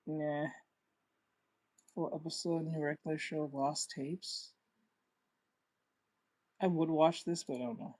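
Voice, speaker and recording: A reaction channel host talking in an offhand way, swearing and often trailing off quietly. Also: medium pitch, level tone, slow, pauses often. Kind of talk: casual reaction commentary.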